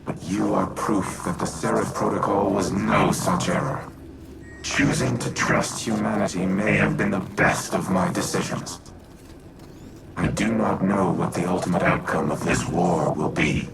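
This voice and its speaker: A man voicing a grave, remorseful artificial war intelligence in a video game, speaking formally and with measured deliberation. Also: low-pitched, fairly even intonation, creaky, heavy background noise. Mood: solemn, reflective. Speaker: military AI ally. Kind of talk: video game cutscene monologue. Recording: loud music behind the voice.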